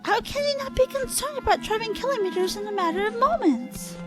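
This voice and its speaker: A woman acts an earnest, anxious young companion in an audio drama. The voice is extremely high and swoops widely, yet the words are stiffly precise, over clear music. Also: sing-song, fast. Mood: concerned. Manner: avoids contractions, stiffly precise diction.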